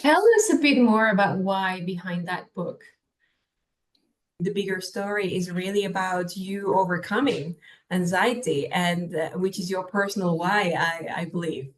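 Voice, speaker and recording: A woman interviewing a guest, asking her questions gently and hesitantly. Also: fairly low pitch, measured pace.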